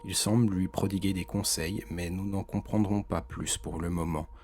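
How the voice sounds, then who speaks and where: A man giving scripted explanatory narration in French video-essay style, with a measured, serious storytelling manner. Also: low voice, fairly even intonation, a little mellow, a little hiss or hum. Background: background music.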